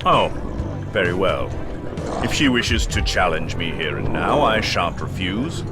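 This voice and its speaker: Deep, pompous dark lord. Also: male voice, lively intonation, measured pace, loud music behind the voice. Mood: grandly menacing. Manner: grandiose, formal, theatrical diction. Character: pompous male dark lord, haughty and unhurried. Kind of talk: fantasy comedy audio drama acting.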